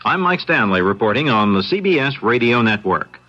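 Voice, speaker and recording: An American man reading a scripted radio news bulletin in a crisp, formal manner. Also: medium pitch, sing-song, a little fast, bright, forceful tone. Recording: dull old radio broadcast.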